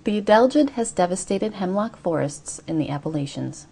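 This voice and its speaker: A woman reading scripted narration for a short documentary piece in an even, measured way. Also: mid-range voice, gravelly, husky.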